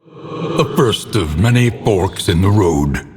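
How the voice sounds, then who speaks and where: A solemn narrator of a ruined gothic world, speaks with heavy, foreboding theatricality. His voice is low and very unhurried, each phrase measured out for a dark fantasy game. A long reverb trails behind the words. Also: lively intonation, a little hiss or hum. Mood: grim, foreboding. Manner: theatrical, ominous, measured, florid. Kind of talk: dramatic scripted game narration.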